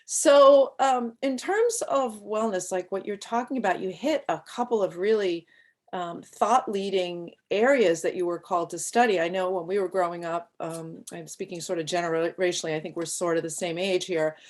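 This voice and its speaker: A woman's voice: fairly low pitch, normal speed. Chatty woman podcast host. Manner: chatty, enthusiastic, animated. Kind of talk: casual interview talk.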